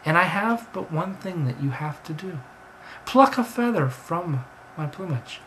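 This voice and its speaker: A man's voice: on the high side, animated, unhurried, a bit bright, pitched above the performer's own voice. Guy doing a pushy talking bird from a storybook, raised voice, scolding n earnest, noisy recording. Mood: earnest, pleading. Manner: insistent, scolding, grandly earnest. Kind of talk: storybook character voice.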